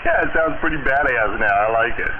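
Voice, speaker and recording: A man with a deep, bassy voice chatting casually on ham radio about his gear. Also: fairly quick, a loud crowd. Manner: relaxed, technical, talkative. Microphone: desk condenser mic over radio. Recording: lo-fi radio audio with loud noise.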